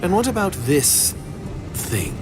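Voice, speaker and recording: An actor playing a wary, secretive conspirator in a video game, speaking in a guarded, formal and condescending manner. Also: male voice, a little high, huge pitch swings, takes it slowly, loud music behind the voice, heavily acted. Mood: suspicious. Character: wary, secretive male foreign conspirator, coolly disdainful. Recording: loud noise and ambience behind.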